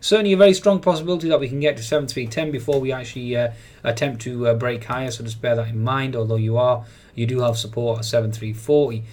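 Male market commentator, mid-pitched, halting and filler-laden daily update. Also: steady tone, a little mellow. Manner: hesitant, many "uh" fillers, repeats "certainly". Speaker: market analyst. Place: voice-over on screen recording.

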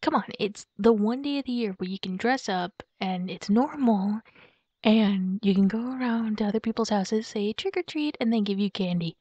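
A young woman playing a playful, excitable girlfriend in a roleplay audio, speaking softly and hesitantly as if musing aloud to her partner. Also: medium pitch, normal speed, no room sound, close to an everyday voice. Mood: eager, playful. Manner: giggly, cutesy, soft and hesitant, musing aloud. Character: playful, excitable werewolf girlfriend shopping for Halloween costumes. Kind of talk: girlfriend roleplay ASMR, improvised-sounding monologue to a partner. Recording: dull lo-fi close dry recording.

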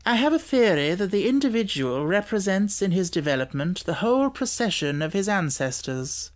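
A man's voice: sits high, very animated, normal speed, voice pushed up. A man voicing a keen, self-assured Victorian detective with an emphatic, lecturing manner in an audiobook. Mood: reflective, assured.

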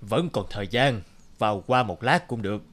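A man voicing a middle-aged company director who asks staff brisk, authoritative questions. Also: fairly low pitch, expressive, a bit bright. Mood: businesslike.

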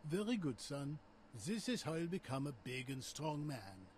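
An actor playing a stern, patronizing father in a video game, measured and firm. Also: fairly high pitch, very animated, a little mellow, slight background noise. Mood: approving. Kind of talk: video game cutscene acting.

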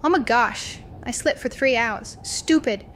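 A woman's voice: on the high side, measured pace, slight background noise, close to an everyday voice. A woman playing a young student confiding a horror story to her video diary in a dramatic way. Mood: casual, tired. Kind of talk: first-person horror audio diary.

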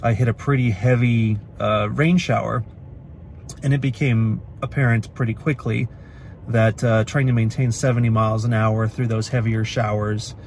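A man's voice: fairly low pitch, fairly even intonation, moderate pace, darkish tone, slight background noise. Chatty man talking from his car. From Pacific Northwest. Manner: chatty, matter-of-fact, many uhs and restarts. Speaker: car vlogger. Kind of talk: casual vlog talk to camera. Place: inside a parked car at night. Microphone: phone camera at arm's length.

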